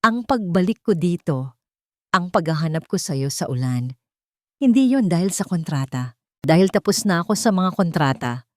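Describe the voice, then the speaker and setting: Woman voicing a tender, earnest man in love, mellow. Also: fairly low pitch, animated, moderate pace, dry recording, studio quality, close to an everyday voice. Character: earnest, tender male billionaire confessing his love.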